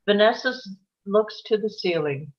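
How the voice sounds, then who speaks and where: A woman narrates a screenplay's action and scene headings. Her voice sits at a middle pitch. The microphone sound is a little dull and lo-fi. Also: moderate pace. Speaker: stage-direction narrator.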